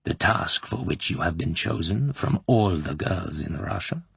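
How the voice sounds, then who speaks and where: A man voicing a cruel middle-aged female Soviet colonel who interrogates with an oily, smooth, falsely motherly manner, dropping at times into soft whispers. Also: sits low, lively intonation, a little slow, no room sound, heavily acted. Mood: oily, coaxing.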